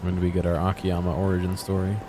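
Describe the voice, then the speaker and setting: A man's voice: deep voice, completely flat delivery, rapid-fire, noisy background. A man giving casual gameplay commentary as a streamer, musing aloud and often questioning himself. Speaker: video game streamer.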